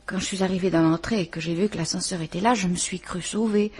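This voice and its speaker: Breathy, shaken woman suspect. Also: lowish voice, steady tone, a little mellow. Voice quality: breathy, trembling. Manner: halting, stammering, often near a whisper. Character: distraught woman questioned after a shooting. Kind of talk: vintage French radio drama. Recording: old radio play recording.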